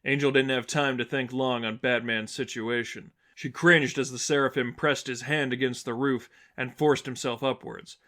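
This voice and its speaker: A man's voice: mid-range voice, fairly even intonation, moderate pace, few pauses, pushed and bright. A man reading an action story aloud as scripted narration. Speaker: narrator reading fan fiction.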